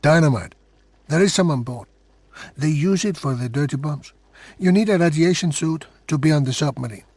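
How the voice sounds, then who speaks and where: A world-weary secret informant from a video game. He has a husky voice in a dry, roomless recording and briefs in a wry, matter-of-fact way. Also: on the high side, normal speed, a little mellow, no echo. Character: wry, world-weary male undercover informant at military base.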